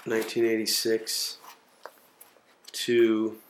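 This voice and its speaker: A man in his forties talks to his home camcorder in a rambling, self-mocking way, swearing freely. His voice sits low. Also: completely flat delivery, slow, a little hiss or hum. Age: 40s. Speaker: video diarist. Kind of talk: casual self-recorded monologue.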